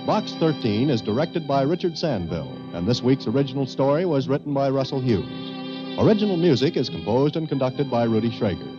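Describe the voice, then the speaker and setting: A man's voice: mid-range voice, sing-song, fairly quick. A man with an American accent reading a formal 1940s radio drama closing announcement. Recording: dull 1940s radio recording over music. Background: music.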